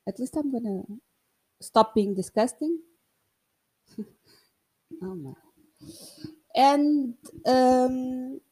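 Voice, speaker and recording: A woman's voice: a little high, mellow. A woman with a Portuguese accent, a native Portuguese speaker, telling a story casually in English with self-mocking humor and hesitant restarts. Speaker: vlogger.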